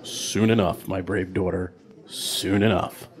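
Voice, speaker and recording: A proud warrior father , voiced by a man, with a fairly low voice. He sounds measured and paternal, in the manner of a fantasy radio drama. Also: fairly even intonation. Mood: fond pride. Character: proud warrior father, middle-aged village fighter.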